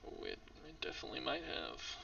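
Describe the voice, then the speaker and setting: A man with a voice worn down by illness mumbles and half-whispers his way through a game, slow and gravelly, with a bright, tense edge. His pitch wanders widely even when his voice cracks. The recording is dull and lo-fi, picked up from off the mic with faint hiss. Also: distant mic. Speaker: streamer playing a game. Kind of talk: casual let's-play commentary.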